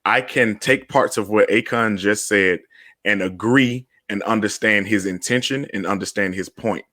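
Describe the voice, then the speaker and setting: A man moderating a panel discussion as host, explaining deliberately and with emphasis. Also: on the low side, pushed and bright, dry recording, clean studio sound.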